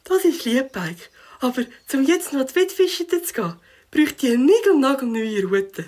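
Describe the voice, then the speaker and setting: A woman's voice: sits high, very animated, normal speed, darkish tone. A woman voicing a hapless, dramatic catfish with a Swiss German accent in a children's audio play. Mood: downcast. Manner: theatrical, exclamatory.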